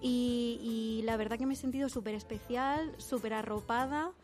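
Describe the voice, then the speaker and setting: A woman's voice: a little high, fairly even intonation, moderate pace. Upbeat Spanish woman over music. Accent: Spain. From Spain. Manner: upbeat, warm, restarting phrases. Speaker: interview guest, model. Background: music playing.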